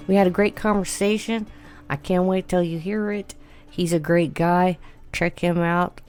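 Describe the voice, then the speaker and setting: A woman's voice: on the low side, clear, resonant tone, faint music behind. A woman hosting a podcast, opening the show and then interviewing a guest with short encouraging replies. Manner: slow, relaxed delivery with short encouraging replies. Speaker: podcast host. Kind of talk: casual interview.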